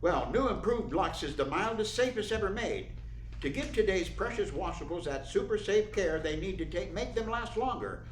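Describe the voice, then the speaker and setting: An actor playing a polished 1940s radio announcer, who delivers sponsor pitches and dramatic narration. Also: male voice, expressive, normal speed. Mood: upbeat, selling. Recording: roomy echo, faint noise.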